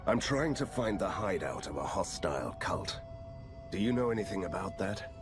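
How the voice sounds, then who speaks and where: A man playing a stoic, sardonic young monster-hunting hero in a video game, delivered deadpan. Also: sits low, normal speed. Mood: dry. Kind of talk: video game cutscene acting. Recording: loud music behind. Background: game music.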